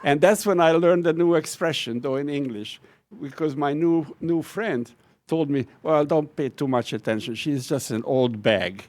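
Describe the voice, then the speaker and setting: A man's voice: fairly high pitch, moderate pace, darkish tone. An older man from Hungary with a Hungarian accent, a retiring professor telling wry, self-deprecating stories about his life to a lecture audience. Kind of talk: personal reminiscence at a lecture event.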